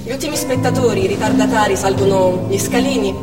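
Actress doing lady ambulance medic, matter of fact stage monologue, far off w loud music over it. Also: mid-range voice, fast talker, natural voice. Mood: wistful. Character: Russian ambulance medic, matter-of-fact, wistful, narrating her shift. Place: stage in a theatre hall. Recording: distant echoey hall, loud music.

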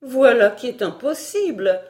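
Woman playing a shrill, indignant governess. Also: animated, a little slow, a little mellow. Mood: grand, emphatic. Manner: indignant, theatrical, scolding. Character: sour, indignant, paranoid governess with bulging eyes.

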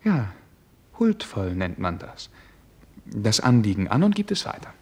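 A low, husky, stiffly courteous prince. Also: male voice, big pitch swings, measured pace, darkish tone, heavily acted. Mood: earnest explaining. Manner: formal, hesitant, earnest. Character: stiff, courteous, naive young prince, easily flustered. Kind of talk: German radio play acting.

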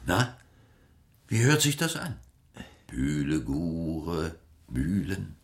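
Low-voiced, learned museum curator, roomy sound. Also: male voice, big pitch swings, very slow, full character voice. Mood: musing. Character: East German male museum curator, learned, musing, principled. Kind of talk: conversational radio drama acting. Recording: roomy, reverberant interior sound.

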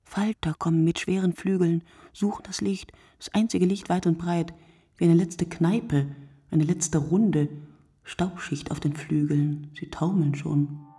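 Radio play narrator, husky and lowish, musing in deliberate poetic fragments, very dry sound. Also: female voice, fairly even intonation, mellow, no echo. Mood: hushed, observant. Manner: deliberate, fragmented phrasing, dwelling on words. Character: reflective woman narrator musing poetically on night-time drinkers. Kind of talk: literary radio play monologue.

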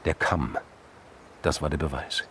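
A male narrator with a low voice reads literary crime fiction for a radio play. His phrasing is measured and dramatic, broken by deliberate pauses, with faint noise behind him. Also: steady tone, a bit bright.

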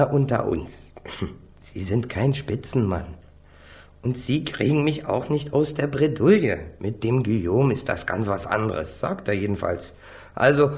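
Young male crook, insolent, slangy, street-tough, taunting, medium pitch, radio crime drama. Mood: smug, taunting.

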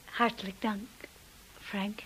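An actress playing a shy, insecure young wife in a radio drama, with a hushed, breathy voice and a timid, hesitant way of talking. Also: female voice, medium pitch, level tone, slow pace, exaggerated voice, poor audio. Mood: nervous, apologetic. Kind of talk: radio drama narration and acting.

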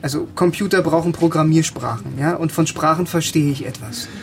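An actor playing a middle-aged East German language lover, a dryly wry former conscript, in a radio drama with first-person narration. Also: male voice, on the high side, fairly even intonation, fairly quick, vocal fry, a little hiss or hum. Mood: dryly stubborn.

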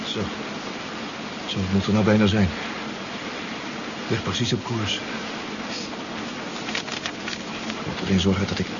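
Man playing a halting submarine pilot, engine roar behind. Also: lowish voice, lightly acted. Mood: weary, anxious. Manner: halting, stammering, repeats himself. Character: distraught, exhausted submarine pilot reporting by radio. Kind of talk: 1970s radio drama acting. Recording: dull radio play recording, loud engine noise.

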